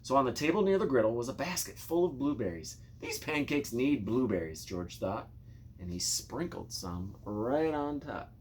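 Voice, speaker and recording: A man narrating a children's storybook in an expressive, playful way, speaking directly to young listeners. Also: mid-range voice, moderate pace, slight background noise. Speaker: storybook reader.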